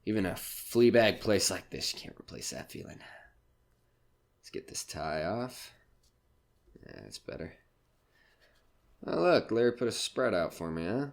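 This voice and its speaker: A man's voice: low voice, sing-song, a little slow, creaky, pauses often, heavily acted. A man playing a jaded, foul-mouthed nightclub comedian in an audio drama, brash, curt and grumbling. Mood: weary, polite.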